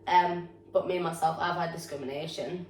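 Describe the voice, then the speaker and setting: A woman's voice: on the low side, steady tone, moderate pace, a little mellow. Geordie woman, chatty and frank. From North East England. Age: young adult. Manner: chatty, frank, swears freely. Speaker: drama student podcast co-host. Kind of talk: casual chat. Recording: echoey room, mic far off, faint noise.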